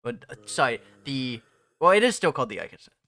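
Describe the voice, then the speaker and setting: A young man talks loosely on a home live stream, drifting between topics and laughing as he goes. His voice is bright and forward. The mic sounds close and dry, with almost no room sound. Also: mid-range voice, very animated, fast talker, dry recording. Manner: rambling, laughs often, restarts phrases. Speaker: streamer and mod developer. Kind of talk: casual live-stream chat.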